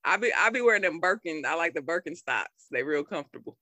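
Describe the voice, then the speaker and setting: A woman's voice: on the low side, expressive, fast, sharp tone. A woman co-hosting a podcast, telling stories in an easygoing way and laughing often. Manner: laughs easily, easygoing storytelling. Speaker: podcast co-host. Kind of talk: casual podcast chat.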